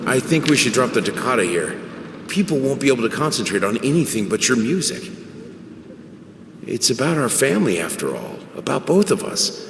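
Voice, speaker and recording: Intense family man, persuasive, earnest, widely varying pitch, reverberant noisy hall, game dialogue. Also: big pitch swings, normal speed. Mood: insistent. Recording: echoey hall, clear background noise.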